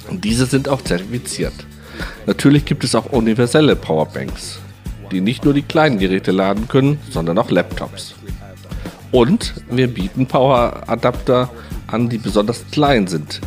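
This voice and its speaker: A man with a fairly low voice reads a translated interview as a voice-over. His delivery is scripted, and faint music plays behind him. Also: a little mellow.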